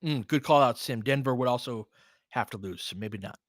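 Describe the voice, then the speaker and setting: A man's voice: medium pitch, fairly quick, dry recording, studio quality. A man doing casual live basketball play-by-play as a stream host, speaking conversationally in short, clipped phrases. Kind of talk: casual live basketball commentary with fan chat.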